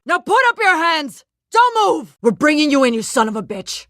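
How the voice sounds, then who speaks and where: A tough cop from a video game, her voice pitched a little high. She is urgent and commanding, firing off clipped lines in a dry recording. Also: very animated, normal speed, fairly bright tone, no echo. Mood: furious, commanding. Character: tough, urgent policewoman, adult.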